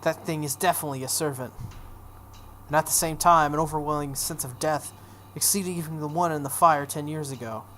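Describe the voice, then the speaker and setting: A woman's voice: low voice, brisk, fairly bright tone. A woman hosting a video game playthrough, reading a visual novel aloud expressively with offhand casual asides. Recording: some background noise.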